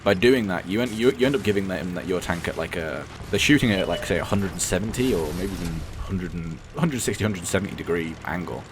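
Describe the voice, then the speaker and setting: A man with a croaky, hoarse voice, giving rambling, blunt gameplay commentary and often correcting himself. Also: sits low, brisk, hardly any pauses, noisy background. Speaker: gaming commentator. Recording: game sounds clearly behind the voice.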